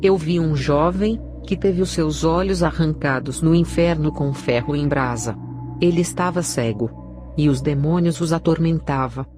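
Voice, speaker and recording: Brazilian woman narrating over clear music. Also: low-pitched, normal speed. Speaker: narrator of a religious testimony. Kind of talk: scripted narration. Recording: clear background music under the voice.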